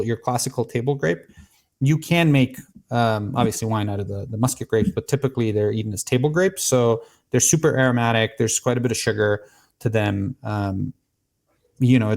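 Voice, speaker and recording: A man from Serbia in his thirties, a guest on a podcast, explaining and telling stories with frequent restarts. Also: mid-range voice. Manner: explanatory, storytelling, many restarts. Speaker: podcast guest, cigar maker. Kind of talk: casual podcast conversation.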